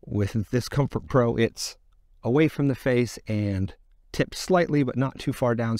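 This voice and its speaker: A man's voice: medium pitch, a little mellow, studio quality. The sort of plain-spoken, middle-aged man who walks you through gear in a farm supply how-to video, closely miked with no echo. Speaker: presenter of a beekeeping product guide. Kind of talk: explanatory product demonstration. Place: outdoors in an open gravel yard by fields.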